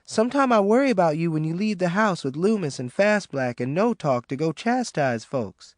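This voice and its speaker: A man's voice: sits high, lively intonation, moderate pace, dry recording, studio quality, voice pushed up. A man voicing a timid, worried eighteen-year-old woman, speaking hesitantly. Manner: hesitant, soft-spoken.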